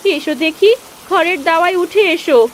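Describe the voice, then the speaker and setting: A high-voiced, bossy village wife and mother from a cartoon, acted by a woman. She scolds and insists in a nagging way. There is faint rain-like noise behind her. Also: normal speed. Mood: concerned. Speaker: cartoon voice actor. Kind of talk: Bengali village cartoon dialogue.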